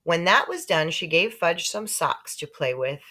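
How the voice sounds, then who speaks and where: A woman narrating a children's book aloud in an expressive storytelling style. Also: on the low side, unhurried. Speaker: storybook reader.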